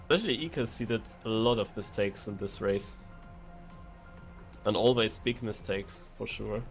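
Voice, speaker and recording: A man's voice: lowish voice, moderate pace. A man giving conversational live commentary as a co-caster on an esports racing game. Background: music.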